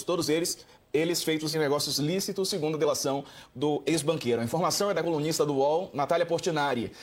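A man reads a news report aloud, brisk and steady. His voice is husky and fairly high for a man, and he moves through it quickly. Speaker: news reader.

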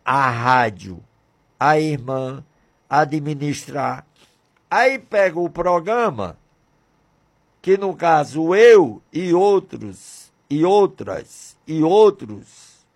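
A man's voice: a little high, a little mellow. A man in his sixties from Brazil, talking on religious radio in a fervent, repetitive way with many rhetorical questions. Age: 60s. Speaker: radio presenter.

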